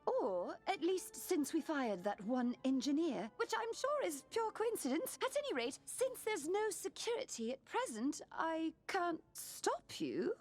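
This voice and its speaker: Very high, fussy museum attendant. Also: female voice, big pitch swings, a little slow, hardly any pauses, heavily acted. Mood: breezy, flustered. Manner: theatrical, fussy, breezy. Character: chirpy, posh, flustered museum attendant woman. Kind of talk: scripted game dialogue. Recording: game audio over background music.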